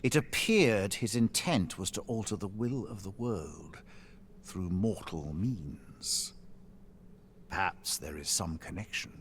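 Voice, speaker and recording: An actor playing a grave, cryptic sage in a fantasy video game, with deliberate archaic phrasing. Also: male voice, deep voice, slight background noise, full character voice.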